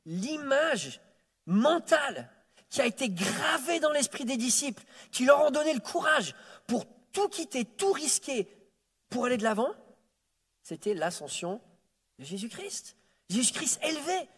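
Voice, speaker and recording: A man's voice: extremely high pitch, very animated, unhurried, husky. A man, preaching a Sunday sermon as a guest, joking about himself and often repeating words as he restarts. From France. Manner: warm self-deprecating humor, frequent stammered repeats. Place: church sanctuary. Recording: reverberant church hall.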